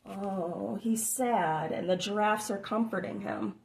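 A woman reading a children's picture book aloud with expressive, drawn-out phrasing and little asides to listeners. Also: medium pitch, gravelly, a little hiss or hum. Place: small room at home, indoors.

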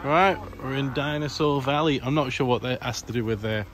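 A man's voice: medium pitch, fairly quick. A British man chatting casually as he films a walkaround vlog outdoors. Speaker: vlogger. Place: outdoor family park, walking in woods.

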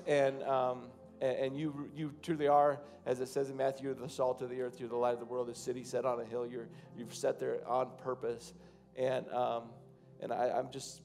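A man in his thirties, a pastor, preaching and then praying over his congregation from a church stage, with loose, halting phrasing that rises to fervent prayer. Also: mid-range voice, vocal fry, warm tone. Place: large church stage, indoors.

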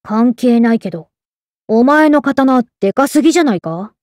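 A woman plays a cheeky spirit lady from a game, high-pitched and swooping. She speaks in blunt, tomboyish remarks, close and dry. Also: lively intonation, moderate pace, a little mellow, dry recording, lightly acted. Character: blunt, cheeky, otherworldly female companion spirit. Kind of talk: game character voice lines.

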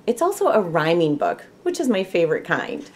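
Lively Midwestern woman reading storybooks. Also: lowish voice, moderate pace. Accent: American Midwestern. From Michigan, United States. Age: middle-aged. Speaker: volunteer storybook reader. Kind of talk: children's read-aloud storytelling. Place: small room at home, indoors.

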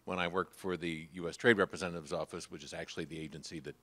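Older man, audience member, very low voice, handheld mic; measured, hesitant. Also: fairly even intonation, fairly bright tone. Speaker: audience member asking a question. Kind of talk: audience question. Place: library meeting room, in the audience. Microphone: handheld microphone.

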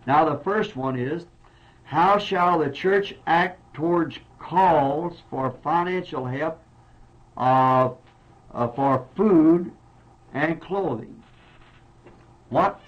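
A man preaching as pastor of his church in a Southern American accent, speaking earnestly and plainly and repeating words for emphasis. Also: fairly high pitch. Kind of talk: sermon answering congregation questions. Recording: dull lo-fi 1960s tape recording.

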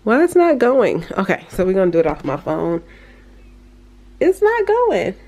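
A woman's voice: mid-range voice, wildly swooping pitch, moderate pace, darkish tone. A young adult woman, chatting casually and informally for a vlog in a bedroom at home. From United States. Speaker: vlogger.

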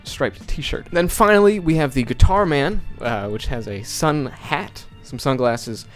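A man's voice: fairly high pitch, measured pace, soft background music. A young man whose first language is Finnish, talking casually and jokingly into a close handheld mic in a small bedroom as he walks through how he edited a video. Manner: chatty, jokey, animated. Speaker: video creator. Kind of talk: casual tutorial vlog. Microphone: handheld dynamic mic close up.

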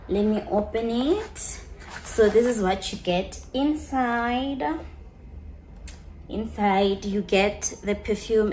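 Woman vlogger, young, unhurried and gushing, faint room hiss behind. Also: mid-range voice, mellow, low-quality recording. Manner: chatty, gushing, slips into local phrases. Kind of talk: casual chat to camera, showing perfumes.

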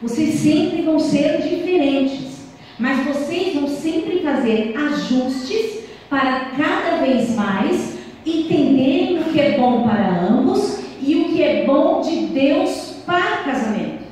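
A woman's voice: high voice, animated, slow pace, darkish tone, a little hiss or hum. A woman in her thirties giving an earnest church talk to married couples, speaking into a handheld mic in a small church hall. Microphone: handheld mic, far camera. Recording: echoey hall from far off.

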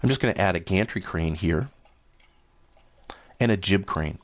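A man walking through new software features in a steady, explanatory screen-recorded demo. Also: low voice, normal speed. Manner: steady, explanatory, first-person demo narration. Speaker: software demonstrator.

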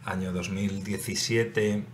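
A man in his thirties with a Spanish accent from Spain, an athlete guest answering in a lively way, speaking into a desk microphone in a studio. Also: low voice, slow pace. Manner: lively, reads listener questions aloud, laughs. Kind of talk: casual interview answers. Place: small TV studio. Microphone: desk microphone on stand. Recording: faint background noise.

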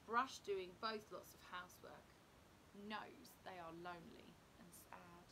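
A middle-aged woman reading a children's picture book aloud in an expressive, dramatic, deliberate manner. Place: indoor room at home. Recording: clear low background rumble noise.

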